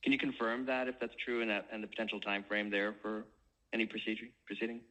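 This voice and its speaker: A man with a fairly low voice speaks as a financial analyst on an earnings call. He puts his questions in a conversational, businesslike way. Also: level tone, fast, pushed and bright, poor audio.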